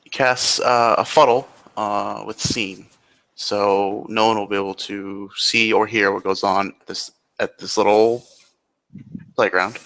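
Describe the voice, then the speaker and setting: Bright-voiced man narrating a roleplay. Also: lowish voice, level tone, unhurried, low-quality recording. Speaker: roleplay game player. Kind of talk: improvised third-person narration of a tabletop roleplay.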